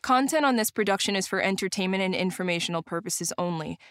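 A woman reading a formal legal disclaimer in a quick, even announcer style. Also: medium pitch, dry recording, studio quality. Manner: smooth, fast formal reading. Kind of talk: read disclaimer.

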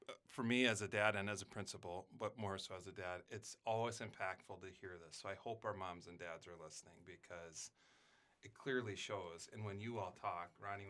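A man's voice: low voice, pushed and bright. A middle-aged man, a school principal, hosting a casual student podcast with an encouraging, upbeat manner.

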